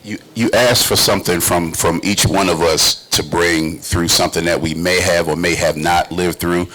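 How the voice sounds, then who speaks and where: A man with a low, deep voice that has a husky edge. He talks informally, like an actor chatting on a panel. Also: fairly even intonation, normal speed, bright, forceful tone, low-quality recording. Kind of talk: casual panel talk.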